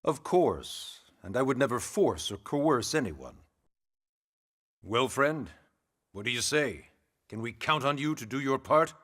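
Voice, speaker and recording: A man playing a wry, formal, gently pushy government secretary in a video game cutscene, courteous and smug. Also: medium pitch, lively intonation, measured pace, pauses often. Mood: wry, persuasive. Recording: loud music behind the voice.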